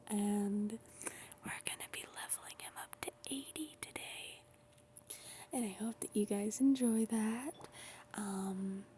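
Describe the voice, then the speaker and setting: A young woman whispering her way through soft-spoken gaming chat. She rambles, with frequent ums, and her voice is a little raspy from a dry throat. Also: mid-range voice, a little hiss or hum. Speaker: gaming YouTuber.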